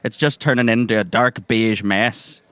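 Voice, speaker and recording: Bright-voiced Northern Irish game master. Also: male voice, lowish voice, steady tone, normal speed, clear, resonant tone, no room sound. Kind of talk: casual improvised scene narration.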